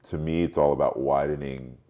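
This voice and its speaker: Very deep-voiced man in his late forties. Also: steady tone, lo-fi sound. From Europe, mostly France, born in Cameroon. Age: late 40s. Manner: halting, thinking aloud with repeated words. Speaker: podcast guest, marketing strategist. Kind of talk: reflective interview talk.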